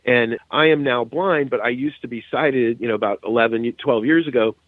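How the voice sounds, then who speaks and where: A man's voice: medium pitch, normal speed, no echo. A middle-aged man chatting casually as a podcast guest, often checking in with the listener as he goes. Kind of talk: casual chat. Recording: narrow, phone-like call line.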